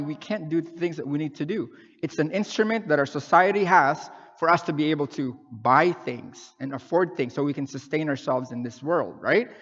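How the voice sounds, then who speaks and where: A man's voice: a little high, fairly bright tone, highs cut off. Filipino-raised man preaching in a reverberant hall. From Philippines. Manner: conversational preaching, frequent "okay?" and "right?" check-ins. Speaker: pastor. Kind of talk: sermon.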